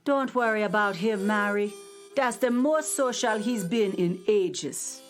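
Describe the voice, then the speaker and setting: A woman playing a gentle elderly widow in a nursing home in a radio play, with homespun talk. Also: medium pitch, unhurried, lightly acted. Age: older. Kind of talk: radio drama acting. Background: music.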